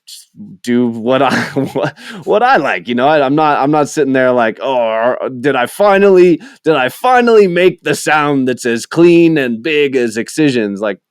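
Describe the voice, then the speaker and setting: A man, a music producer guest on a podcast, talking casually in a relaxed, rambling way with many filler words. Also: mid-range voice, normal speed, clean tone, no echo, studio quality. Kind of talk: casual chat.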